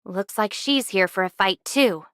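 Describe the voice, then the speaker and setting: A woman's voice: mid-range voice, a little slow, fairly bright tone, no echo, studio quality, close to an everyday voice. A woman voicing a curious female bystander in an anime video game, speaking in an inquisitive way. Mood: curious, wary.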